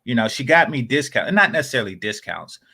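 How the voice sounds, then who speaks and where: A man's voice: big pitch swings, fast talker, creaky, husky. Rambling man in casual podcast chat. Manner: rambling, many fillers and restarts; drops into low, quiet asides.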